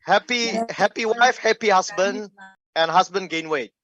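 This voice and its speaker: A middle-aged man from Indonesia with an Indonesian accent, telling his personal story in an informal, halting, conversational talk. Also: sits high, fairly bright tone, lo-fi sound. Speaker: speaker giving a personal health testimony.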